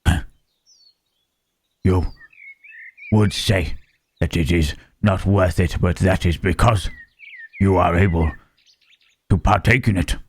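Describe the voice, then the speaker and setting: A man voicing a gentle, self-loathing Frankenstein's monster in an ASMR roleplay, speaking in halting, formal phrases with a husky, rough voice. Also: low voice, steady tone, heavily acted. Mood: disbelieving, resigned. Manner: halting, formal phrasing with searching tag questions. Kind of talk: slow ASMR roleplay monologue.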